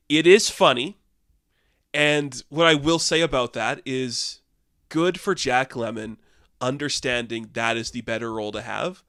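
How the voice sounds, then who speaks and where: Bright, rambling sarcastic young man. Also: medium pitch, dry recording, clean studio sound. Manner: rambling, sarcastic, exasperated, frequent "like" fillers. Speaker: film reviewer. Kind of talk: casual film review monologue.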